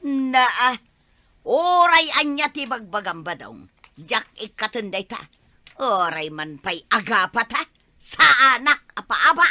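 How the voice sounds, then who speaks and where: An actress playing an irritable wife in an Ilocano-accented radio comedy drama. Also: female voice, fairly high pitch, big pitch swings, a little slow, bright, forceful tone, no echo, full character voice. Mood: exasperated.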